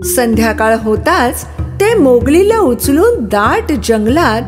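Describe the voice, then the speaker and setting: A woman narrating a scripted children's story in an expressive storytelling style. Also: high-pitched, slow. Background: music.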